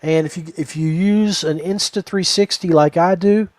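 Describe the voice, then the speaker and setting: A man hosting a podcast in a casual, folksy, halting way. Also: high voice, steady tone, a little mellow, no room sound. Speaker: podcast host.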